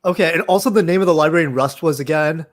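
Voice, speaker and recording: A man's voice: a little high, very fast. Casual male host of a tech livestream. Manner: friendly, informal, many "uh" and "like". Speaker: host of a Python meetup livestream. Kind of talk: casual livestream interview hosting. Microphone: video call.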